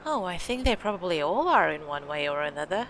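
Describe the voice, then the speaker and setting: A woman's voice: fairly low pitch, sing-song, brisk, a bit bright, poor audio. A woman playing a wealthy, playful host of a country house in an audio drama, self-assured and teasing. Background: music.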